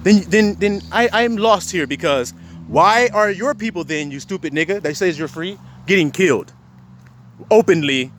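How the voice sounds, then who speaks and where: High, animated confrontational ranting man. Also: normal speed, a little hiss or hum. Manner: confrontational, fires rhetorical questions, heavy swearing. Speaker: self-styled preacher. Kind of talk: profane scripture-quoting rant.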